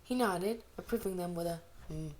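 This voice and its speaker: A woman reads fiction aloud in a mid-pitched voice. She narrates as an amateur audiobook reader, with an expressive way of acting out the characters. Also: normal speed.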